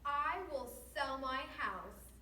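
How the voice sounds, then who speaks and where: Girl acting eager high-pitched boastful little wooden woman. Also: expressive, slow pace, bright, forceful tone, heavily acted. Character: eager, boastful little wooden female Wemmick obsessed with boxes. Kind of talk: readers theater performance. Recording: echoey room, distant mic, noisy background.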